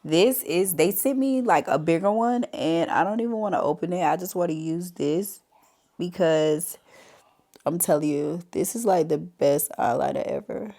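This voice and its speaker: Low-voiced, stuffy-nosed woman chatting. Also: moderate pace, vocal fry, mellow. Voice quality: stuffy, congested. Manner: chatty, talks straight to viewers as "y'all". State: stuffy nose from a cold. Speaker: beauty vlogger. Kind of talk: casual makeup tutorial.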